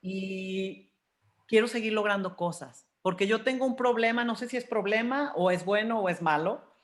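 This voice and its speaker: A woman's voice: medium pitch, normal speed. A woman in her fifties with a Mexican accent, telling personal stories candidly and expressively as an interview guest. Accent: Mexican Spanish. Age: 50s. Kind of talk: candid personal storytelling in conversation.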